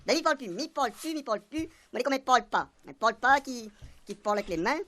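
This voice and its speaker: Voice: medium pitch, expressive, quick pace, sharp tone, slight background noise. An actor in a comic radio serial playing a simple, chatty police sergeant who loves silly jokes, speaking French with a Réunion Creole accent in rambling, repetitive folksy phrases.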